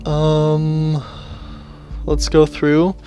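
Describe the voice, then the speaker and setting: A man who is sick, sniffling and clearing his throat as he gives casual commentary on a game, reading on-screen text aloud in low-key asides. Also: monotone, extremely slow, warm tone. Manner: low-key, muttering asides while clicking through menus. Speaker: let's play gamer. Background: game music.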